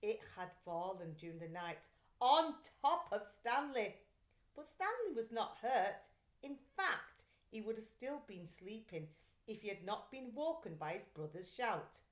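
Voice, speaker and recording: A woman reading a children's story aloud like a teacher, in an expressive, deliberate, carefully phrased way. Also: mid-range voice. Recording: echoey, roomy sound.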